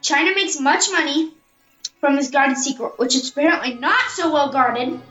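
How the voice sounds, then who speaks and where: A woman's voice: high voice, moderate pace, pushed and bright, soft background music. A woman playing a stately, formal imperial figure in an audio play, speaking in a declamatory way. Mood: stern. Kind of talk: amateur audio-play acting. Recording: roomy, slightly dull home recording.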